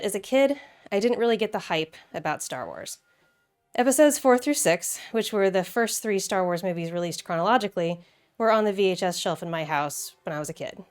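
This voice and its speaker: An American woman hosting a video essay, talking in a conversational, playful way with casual asides. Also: lowish voice.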